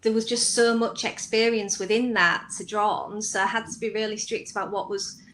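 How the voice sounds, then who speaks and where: Female writer as interview guest, conversational delivery, mid-pitched voice, faint noise behind. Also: flat delivery, moderate pace, fairly bright tone.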